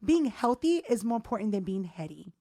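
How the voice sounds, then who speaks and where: A woman's voice: mid-range voice, sing-song, fairly quick, dark tone. A woman guest chatting candidly on a podcast, outspoken and playful, repeating phrases for emphasis and swearing freely. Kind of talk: casual candid chat.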